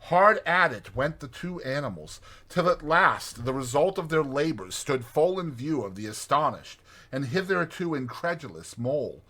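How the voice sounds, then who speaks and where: A man's voice: fairly high pitch, big pitch swings, normal speed, few pauses, pushed and bright. A man reading a classic storybook aloud as a dramatized audiobook narrator.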